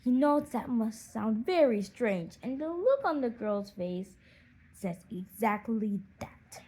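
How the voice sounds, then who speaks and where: A woman reading a children's audiobook in an expressive, animated storytelling manner. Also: a little high, takes it slowly, husky. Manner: animated storytelling with acted character voices. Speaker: audiobook narrator.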